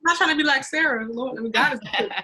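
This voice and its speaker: Animated woman in her thirties. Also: high voice, fast, background noise. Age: 30s. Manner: animated, playful. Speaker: interview guest.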